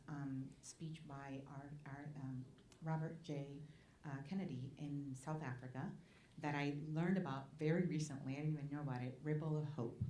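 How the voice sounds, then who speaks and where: A low-pitched woman speaks. She is a Spanish giving an earnest talk at a forum and keeps asking her listeners "right?". She was recorded in an echoey event hall with faint background noise. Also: mellow. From Puerto Rico. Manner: earnest, often asks the room "right?". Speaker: nonprofit president speaking at a panel. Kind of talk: public talk at a community forum.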